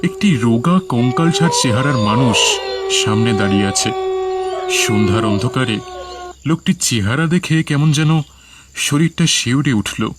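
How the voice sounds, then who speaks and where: A man's voice: mid-range voice, lively intonation, moderate pace, pauses often, very noisy. A man telling a first-person horror story as scripted cartoon narration. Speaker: narrator and lead character.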